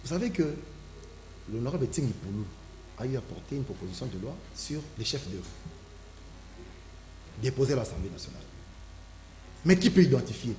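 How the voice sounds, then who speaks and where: A man's voice: medium pitch, very animated, fairly quick, long pauses, warm tone. A man, a legal guest in a studio debate, explaining a law in an emphatic, lecturing way and repeating his phrases. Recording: echoey room with faint hum.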